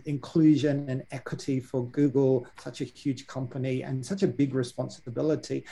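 Gravelly, mellow-voiced male host. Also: mid-range voice. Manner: eager, repeats words as he talks. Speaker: podcast host. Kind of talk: conversational interview.

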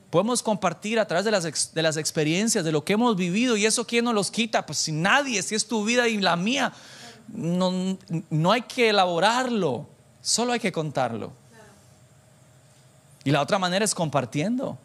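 A man preaching informally to a church congregation, animated and self-deprecating, bantering with listeners and dropping in English phrases. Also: on the high side. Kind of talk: informal conversational sermon with anecdotes.

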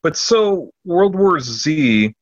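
A man chatting casually as a podcast host, enthusiastic but halting, often repeating words as he goes. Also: fairly high pitch, big pitch swings. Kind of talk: casual chat. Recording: muffled lo-fi audio in a roomy space.

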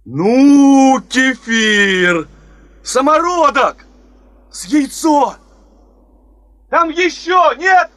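A hearty, impatient prospector calls out in a raised, high voice. His lines are blunt and slowly paced, stretched like shouts across open ground in a radio play. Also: lively intonation, exaggerated voice. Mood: impatient, excited. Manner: calling out, blunt. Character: hearty, impatient male prospecting worker out in the cold. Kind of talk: Soviet radio drama acting.

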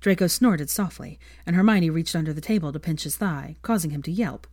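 A woman narrates a story in a fairly low voice. The reading is scripted prose storytelling, recorded close and dry with very little room sound. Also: a little fast, darkish tone, no echo. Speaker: fan fiction reader, narrator.

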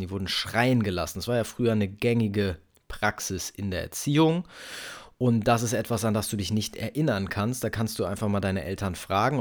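Ein Mann in seinen Dreißigern mit deutschem Akzent, der als Beziehungscoach locker und direkt spricht und den Zuhörer duzt. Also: male voice, on the low side. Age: 30s. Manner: candid, direct, addresses the listener as du. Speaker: relationship coach. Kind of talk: casual monologue to camera.

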